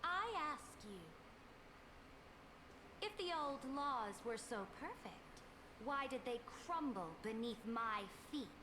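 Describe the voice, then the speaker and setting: A woman's voice: on the high side, expressive, slow pace. A woman playing an imperious, defiant sovereign in a video game, commanding and grand, in a noisy capture. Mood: imperious challenge. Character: imperious, defiant female sovereign proclaiming herself the law.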